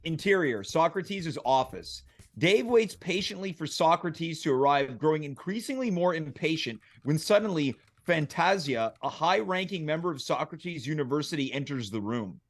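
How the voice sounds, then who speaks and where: A man's voice: a little high, animated, moderate pace. A man narrating a comedic table read, reading screenplay directions aloud.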